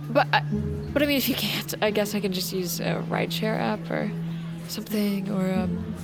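An actress playing a dry, guarded, sarcastic high school senior girl in an audio drama, with deadpan delivery. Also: moderate pace, over loud music, lightly acted. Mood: hesitant, guarded. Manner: deadpan, wry.

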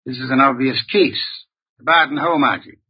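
An actor playing a brusque, imperious genius detective, curt and pedantic, in old-time radio drama style. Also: male voice, mid-range voice, normal speed, husky. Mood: curt, impatient. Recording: dull, muffled vintage radio recording.

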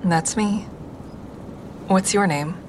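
A woman's voice: medium pitch, takes it slowly, a little mellow, a little hiss or hum, lightly acted. An actress playing a guarded, introspective woman who narrates her own story in an audio drama, with wry understatement. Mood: careful, reassuring. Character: guarded woman, a stand-up comic and crisis-line volunteer, narrating. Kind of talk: first-person audio drama narration and dialogue.

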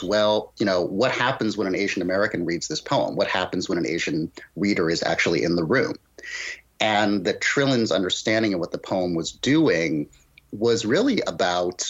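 A man's voice: low voice, slightly rough, muffled recording. A man talking as a guest critic in a literary podcast discussion, analytical and thinking aloud, often posing rhetorical questions.

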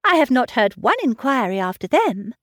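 A woman reading aloud voices a clever, tactful young woman with a brisk, teasing manner. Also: fairly high pitch, big pitch swings, dry recording, studio quality, voice pushed up. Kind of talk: audiobook character reading.

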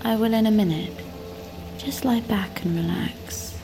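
A woman playing a soothing, quietly unsettling lover in audio fiction, gentle and measured in manner. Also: mid-range voice, over music, close to an everyday voice. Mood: soothing, probing.

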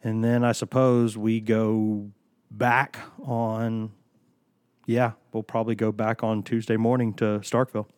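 A man's voice: fairly low pitch, flat delivery, measured pace, darkish tone, clear, resonant tone, no room sound. A man hosting a casual sports podcast from a studio, talking while he has a cold, rambling and joking as he tells stories. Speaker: podcast host.

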